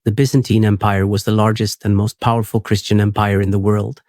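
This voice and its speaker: A man hosting a sleep podcast, reading a script in a steady, unhurried, soothing way. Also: fairly low pitch, dry recording, clean studio sound.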